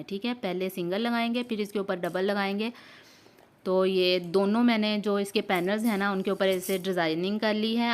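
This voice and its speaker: Clear-voiced woman giving step-by-step instructions. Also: medium pitch, fairly bright tone. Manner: explanatory, repeats steps and often checks in. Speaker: sewing tutorial host. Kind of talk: informal step-by-step instructional narration.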